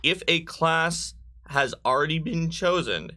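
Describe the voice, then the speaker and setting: A man's voice: fairly high pitch, lively intonation, measured pace, pushed and bright. A man co-hosting a live stream, casually explaining game rules to viewers.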